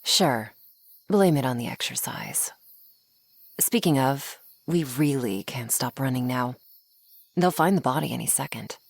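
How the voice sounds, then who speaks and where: A woman's voice: a bass voice, expressive, unhurried, creaky, long pauses, husky, no echo, exaggerated voice. A woman playing a flirty, sardonic female vampire in an ASMR roleplay, taunting the listener playfully up close. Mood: teasing, urgent. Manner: sardonic, playful, taunting, with pet names and sighs.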